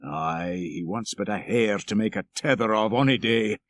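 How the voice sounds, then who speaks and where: A man's voice: on the low side, normal speed, dry recording, pitched below the performer's own voice, natural voice. A man voicing a dour, moralizing old Scottish shepherd in an audiobook story, preachy and emphatic. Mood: sanctimonious.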